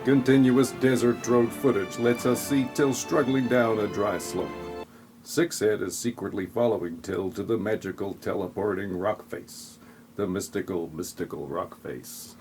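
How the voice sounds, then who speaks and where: A man's voice: lowish voice, steady tone, a little slow, darkish tone, soft background music. A man narrating a scripted comic audio play in a mock-dramatic, tongue-in-cheek way.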